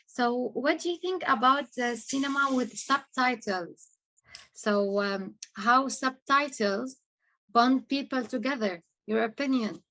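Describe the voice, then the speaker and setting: A woman hosting an interview, putting questions with somewhat halting phrasing and giving short affirmations. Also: high voice, pushed and bright, slight background noise, rough recording. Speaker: show host, interviewer.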